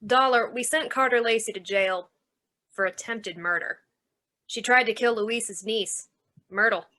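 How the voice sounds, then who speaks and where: A woman's voice: on the high side, measured pace, bright, forceful tone. A woman playing a firm, level-headed carnival worker in radio noir style, direct and bossy. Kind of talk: 1940s radio noir acting.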